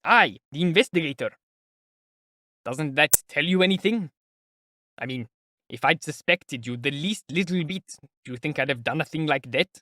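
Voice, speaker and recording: A sly middle-aged investigator, jovial and sardonic, needling his suspect with polite mockery. A man reads him in an audiobook with a fairly high voice. The sound is dry and close. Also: lively intonation, normal speed, sharp tone, no echo. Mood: probing. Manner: chatty, teasing, politely mocking. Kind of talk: audiobook character voice.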